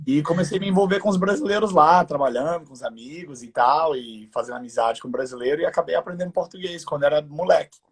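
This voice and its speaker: An American man who learned the language as a teenager, chatting relaxed in a live interview and often adding "né". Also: on the high side, fast talker, hardly any pauses, slightly rough. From United States. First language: English. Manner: relaxed, laughing, tag questions with "né". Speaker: guest entrepreneur.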